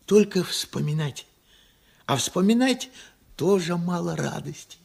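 An actor playing a regretful, stubborn old working man in a radio play, plain-spoken and reflective, with halting speech. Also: sits high, expressive, exaggerated voice. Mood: wistful, regretful. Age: elderly. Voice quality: husky, creaky old voice. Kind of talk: Soviet radio play acting.

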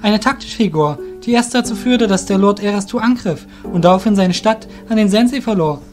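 A man's voice: high voice, brisk, soft background music, voice pushed up, close to an everyday voice. A man voicing a troubled young warrior in an audio drama, earnest and plaintive, blaming himself. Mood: guilt-ridden.

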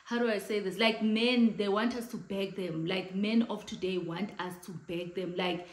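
A woman's voice: medium pitch, normal speed. South African woman with a big voice, late 30s, chatty vlogger talking to camera, room echo. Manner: chatty, animated hand gestures, mixes in Xhosa phrases. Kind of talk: casual chat to camera. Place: living room, indoors, in front of a sofa.

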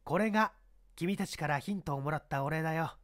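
A man's voice: fairly high pitch, brisk, fairly bright tone, natural voice. A man voicing a confident police inspector in a dramatised detective story, explaining his deductions in an emphatic, rhetorical way. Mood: confident, explanatory.

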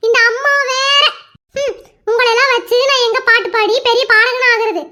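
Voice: very high voice, steady tone, moderate pace, sharp tone. A performer voicing a cheeky teenage boy who dreams of becoming a singer, whiny and defensive. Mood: sulky boastful. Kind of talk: comedy skit acting.

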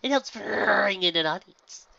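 A woman's voice: mid-range voice, expressive, measured pace, creaky, sharp tone, voice pushed up, full character voice. A woman voicing a wry, guarded female pony gym leader who is easily worried. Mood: wary. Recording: dull, dry lo-fi recording.

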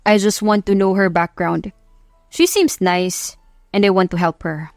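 A woman voicing an earnest, smitten young male CEO in an audio love story, measured and mixing in English. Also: medium pitch, steady tone, no room sound, studio quality, faint music behind, natural voice. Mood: earnest, reflective.

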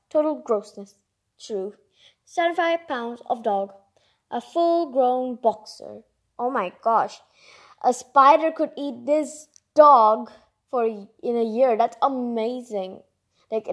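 High-pitched, animated woman reading aloud. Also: a little slow. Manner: exclamatory, expressive, informal. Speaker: read-aloud narrator. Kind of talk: children's picture book read-aloud with chatty asides.

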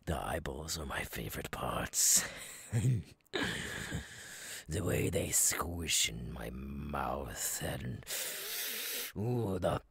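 A male voice actor playing a menacing, giggling, unhinged killer clown monster in a horror ASMR roleplay, raspy and gravelly, sliding between breathy whispers and cackles. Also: very deep voice, expressive, extremely slow, long pauses, a bit bright, no echo, full character voice. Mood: gleeful, savoring.

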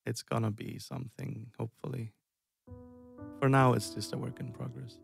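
A man's voice: medium pitch, flat delivery, normal speed, creaky. A man from Iceland in his thirties, chatting casually and rambling on a music live stream, self-deprecating and chuckling often. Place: dark room indoors. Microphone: headset.

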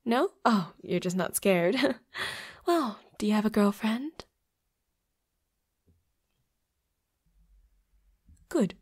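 A woman's voice: medium pitch, expressive, a little slow, darkish tone. A woman voicing a flirty, playfully predatory vampire roommate in an intimate audio roleplay, with a coy, teasing manner. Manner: coy, giggly, teasing, drops into breathy whispers.

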